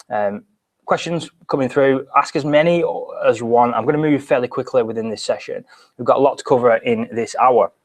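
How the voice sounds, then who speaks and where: British man hosting a live webinar. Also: medium pitch. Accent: British English. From Britain. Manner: energetic, talks with his hands, frequent restarts. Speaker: webinar host. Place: small bright office, indoors.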